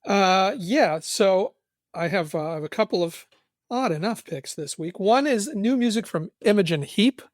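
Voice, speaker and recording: A man's voice: sits high, expressive, normal speed, dry recording. Man chatting casually, very close dry sound. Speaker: podcast co-host and music reviewer. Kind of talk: casual music podcast chat.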